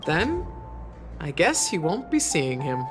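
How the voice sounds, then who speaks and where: A woman's voice: deep voice, very animated, slow, over music, full character voice. An actress playing a laid-back, wistful young female bartender in a game, with a theatrical, easygoing manner. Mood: upbeat, encouraging.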